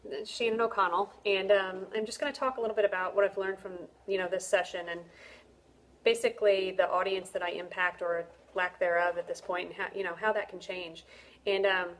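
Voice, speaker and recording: A woman's voice: medium pitch, steady tone, a little fast, poor audio. A middle-aged woman talking through a casual spoken reflection for a class, hesitant and self-conscious, with frequent ums and restarts. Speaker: student reflecting on a course.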